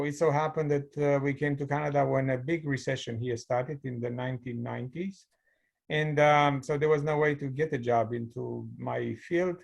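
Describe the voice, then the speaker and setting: A man's voice: medium pitch, creaky. A middle-aged man from Romania with a Romanian accent, telling his story as an interview guest over a video call in a thoughtful way. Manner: thoughtful, storytelling with light laughs. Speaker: guest, mechanical engineer. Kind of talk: conversational interview.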